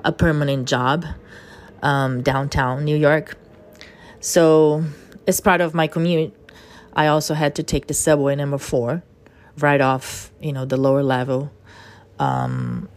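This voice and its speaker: A middle-aged woman from Brazil, a native Portuguese speaker with a Brazilian accent, hosting her podcast and telling a personal story in an earnest, halting way full of fillers. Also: deep voice, no echo. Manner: earnest, halting with frequent fillers and soft laughs. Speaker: podcast host.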